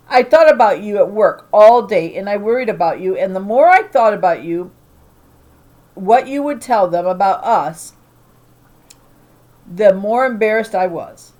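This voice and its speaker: Lady reading a fed-up mom from a kids' book, sharp tongue, raised and emphatic. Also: medium pitch, lightly acted. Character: fed-up hard-drinking mother, sharp-tongued.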